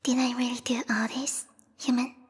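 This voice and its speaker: A woman voicing a bloodthirsty, refined bat girl royal guard in a whispered ASMR roleplay, prim and courtly with quiet menace. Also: on the high side, level tone, normal speed, in a large hall. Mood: prim, mock-apologetic. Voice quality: breathy, whispery. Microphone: close binaural microphone.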